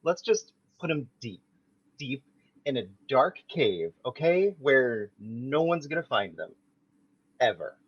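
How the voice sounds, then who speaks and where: A man's voice: mid-range voice, sing-song, slow pace, husky, dull audio, exaggerated voice. A man reading a vain, bossy young man in an amateur table read. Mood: coaxing. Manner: bossy, coaxing, self-important.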